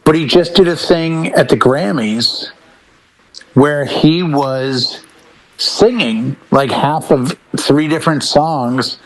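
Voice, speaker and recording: A man's voice: on the high side, slow pace, low-quality recording. A man chatting casually as a talk show panelist, explaining his points emphatically and with anecdotes. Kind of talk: casual chat about rock bands.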